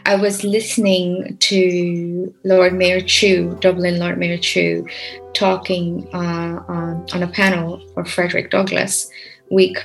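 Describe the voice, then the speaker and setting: Woman interviewer, gravelly and unhurried, admiring questions, faint music underneath. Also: fairly low pitch, flat delivery, low-quality recording. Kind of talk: casual interview conversation.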